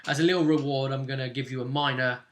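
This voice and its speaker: A man's voice: medium pitch, steady tone, fast. A man hosting as game master, casually recapping a tabletop role-playing session in an informal, storytelling way. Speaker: game master and channel host.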